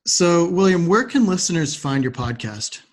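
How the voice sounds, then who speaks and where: Male podcast host, slightly high voice, polite and articulate, tidy presenting style. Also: expressive, moderate pace. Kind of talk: interview hosting with scripted sign-off.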